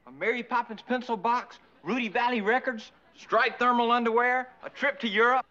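An eager, naive young farmhand with a very high, bright, bouncy voice. He talks in a folksy, excitable way. The sound is a dull lo-fi 1960s TV soundtrack. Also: moderate pace. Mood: excited. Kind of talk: 1960s sitcom acting.

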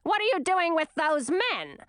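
A woman voicing a blunt, sharp-tongued, crowing queen mother in a storybook reading. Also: extremely high pitch, very animated, measured pace, no echo, clean studio sound, voice pushed up, heavily acted. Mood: crowing, scornful. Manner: snappish and blunt.

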